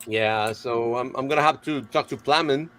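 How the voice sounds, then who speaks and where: A man in casual show-and-tell chat, good-humoured, halting and repeating his words as he explains. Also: medium pitch, a bit bright. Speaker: hobbyist guest on the stream. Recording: faint noise, room ambience.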